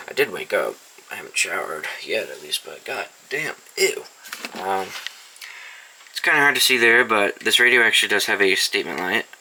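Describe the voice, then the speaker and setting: A man's voice: low voice, noisy background. A young man casually showing off his weather radio collection, rambling and swearing freely, in an indoor room. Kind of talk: casual show-and-tell vlog. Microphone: camera mic.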